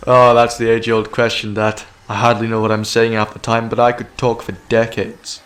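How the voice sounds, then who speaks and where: An actor playing a wry, rambling, eccentric time-travelling hero in an audio drama, with a talkative, quippy delivery. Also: male voice, medium pitch, monotone, moderate pace, a bit bright, natural voice. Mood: sardonic. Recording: echoey, long reverb, music behind.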